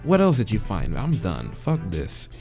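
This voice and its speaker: A man's voice: fairly low pitch, measured pace, soft background music. A man playing a visual novel, reading the dialogue aloud and muttering and swearing as he picks answers. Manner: hesitant muttering with swearing, then steady reading. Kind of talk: let's play, reading dialogue and reacting.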